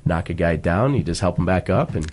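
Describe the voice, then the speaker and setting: An American man, a school coach, answering interview questions in a polite, measured, halting conversational way. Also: low voice, animated, a little mellow. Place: radio studio.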